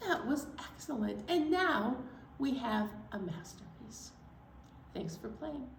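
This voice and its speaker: A woman leading a children's story time, speaking to young children in an animated, encouraging way and asking them questions. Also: a little high, slow, creaky. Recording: roomy echo, distant mic, faint noise.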